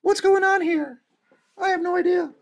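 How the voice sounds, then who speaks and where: Squeaky helium-pitched voice filter. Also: male voice, fairly even intonation, moderate pace, fairly bright tone. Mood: mock puzzled. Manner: mock-innocent, insisting nothing is wrong. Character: man sped up to helium-high pitch, feigning puzzlement. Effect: pitch-shifted voice changer.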